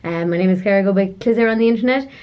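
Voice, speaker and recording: A woman hosting a podcast, chatty and quick to laugh. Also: mid-range voice. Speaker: podcast host. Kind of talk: casual podcast chat. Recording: slightly dull, muffled audio.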